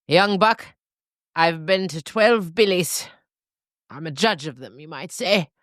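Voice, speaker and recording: A woman's voice: on the low side, expressive, slow pace, a bit bright, dry recording, clean studio sound. A woman voicing a drunk, haughty matriarch nanny goat passing boastful judgement in a comic audio story. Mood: haughty, appraising.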